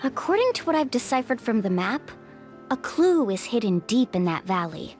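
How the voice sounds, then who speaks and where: Casting: bubbly, confident young guide, lively pitch, light music behind. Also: female voice, moderate pace. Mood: explaining. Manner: upbeat, playful, confident. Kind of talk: video game voice acting. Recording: game audio with music behind.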